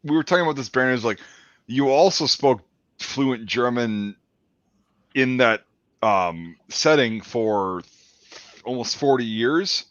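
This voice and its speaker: Chatty male host, dry close sound, slightly dull, mid pitch. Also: expressive, measured pace, pauses often, fairly bright tone, dry recording. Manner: chatty, many fillers like "like" and "you know". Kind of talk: casual chat.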